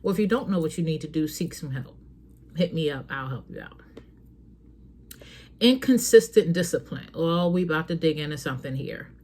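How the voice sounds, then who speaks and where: A woman's voice: low-pitched, expressive, normal speed, pauses often, darkish tone. A woman hosting a talk show, giving earnest, frank parenting advice straight to her listeners in a casual, preachy way. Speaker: host.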